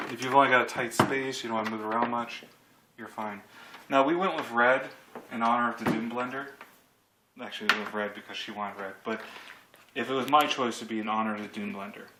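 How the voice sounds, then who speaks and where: A man in his thirties giving a casual, rambling, joking commentary as he reviews a product. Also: medium pitch. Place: home kitchen, indoors. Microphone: handheld camera at a short distance. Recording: echoey kitchen with clear noise and clicks.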